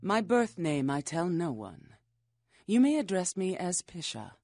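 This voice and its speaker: A woman's voice: lowish voice, big pitch swings, measured pace, mellow, dry recording, clean studio sound, exaggerated voice. A woman playing an ancient flesh-eating vampire in a video game, philosophical and coolly menacing, speaking in cryptic, formal questions. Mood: cool, matter-of-fact. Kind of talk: video game character acting.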